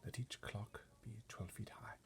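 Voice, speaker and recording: Man playing a whispering, fussy royal butler. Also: natural voice. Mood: hushed, commanding. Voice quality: whispery, breathy. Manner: formal, measured orders. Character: discreet, decisive royal butler, fussy and commanding. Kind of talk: storybook readaloud character voice.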